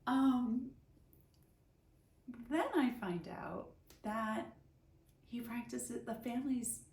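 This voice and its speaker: A woman's voice: a little high, drawn-out words, darkish tone. A woman in her forties telling a personal story in a candid, conversational, emphatic way. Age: 40s. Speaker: storyteller, vlogger. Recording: roomy echo, faint background noise.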